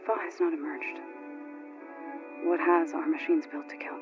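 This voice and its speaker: A woman's voice: deep voice, flat delivery, normal speed, close to an everyday voice. An actress plays a solemn woman of a tribe that reveres its gods. Her delivery is formal and earnest, like careful storytelling in a game drama. The audio is a little dull, and music plays prominently underneath. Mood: solemn, grieving. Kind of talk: dramatic video game acting. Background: prominent background music.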